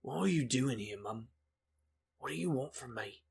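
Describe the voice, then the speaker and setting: A man's voice: mid-range voice, animated, normal speed, vocal fry, slightly rough, full character voice. A man playing a wry, weary investigator for hire, dry and sardonic, in a comic audio drama. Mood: wary, sardonic.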